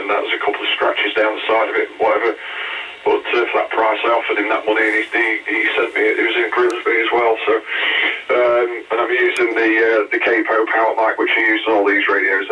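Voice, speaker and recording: A man talks casually over CB radio. His low voice stays very flat in melody. The transmission sounds distant and muffled. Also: a little fast, a little hiss or hum. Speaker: amateur CB radio operator. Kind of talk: casual two-way radio chat. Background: radio transmission from a parked car.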